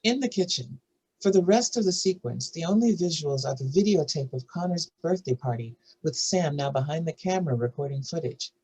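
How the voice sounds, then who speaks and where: A woman with a husky voice narrating screenplay stage directions in a scripted reading. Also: sits low, expressive, brisk, mellow, low-quality recording.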